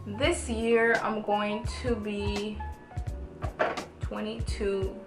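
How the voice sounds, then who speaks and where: A woman's voice: mid-range voice, flat delivery, drawn-out words. A woman in her early twenties talking informally through a makeup tutorial, chatty and thinking aloud as she goes. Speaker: beauty vlogger. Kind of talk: casual makeup tutorial chat. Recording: roomy echo with background music.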